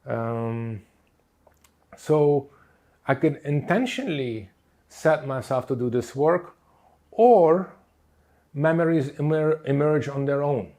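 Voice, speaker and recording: A middle-aged man whose first language was Portuguese talks in a reflective, unhurried way, with many pauses and fillers. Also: a little high, darkish tone. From Lebanon. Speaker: speaker on inner child work. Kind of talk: reflective teaching talk.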